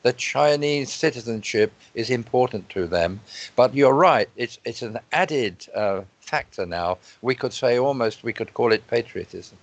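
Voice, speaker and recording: A man moderating an online panel discussion in a measured, thoughtful way, telling stories over a video call. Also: mid-range voice, lively intonation, no echo.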